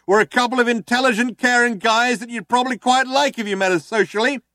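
A man voicing a self-righteous male alien cop who shouts through a loud hailer and lectures while he threatens. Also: very high voice, fast talker, dry recording, clean studio sound, pitched above the performer's own voice. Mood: pompous shouting.

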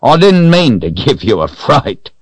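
A man's voice: medium pitch, very animated, unhurried, no room sound, voice pushed up. A man voicing a jolly, teasing old man in an audiobook, with a hearty, folksy manner. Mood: hearty greeting. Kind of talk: audiobook character voice.

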